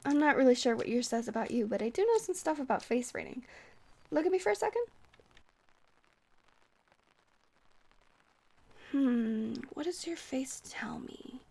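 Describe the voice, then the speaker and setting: A woman's voice: high-pitched, animated, a little fast, gravelly, exaggerated voice. A woman playing a shy, friendly vampire who rambles hesitantly and flirts sweetly in an ASMR roleplay. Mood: playful, teasing. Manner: hesitant, rambling, soft-hearted, awkwardly stammering.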